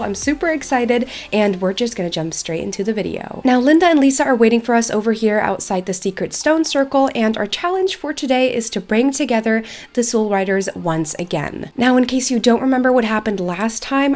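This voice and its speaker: A woman's voice: on the high side, darkish tone. A woman hosting a gaming channel, chatting enthusiastically as she narrates her playthrough in a casual style. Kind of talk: excited game playthrough narration with casual chat.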